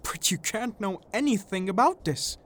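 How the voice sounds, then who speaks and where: A woman's voice: medium pitch, expressive, moderate pace, close to an everyday voice. A woman voicing a weak, nervous little man in a dramatised audiobook, plaintive and easily agitated. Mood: nervous, defensive.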